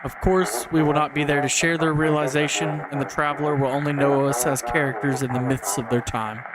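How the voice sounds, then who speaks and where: A man's voice: on the high side, level tone, a little fast, heavy background noise, lightly acted. A man playing a solemn nineteenth-century diplomat and canal developer, speaking formally and grandly in an analog horror monologue. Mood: solemn, confessional.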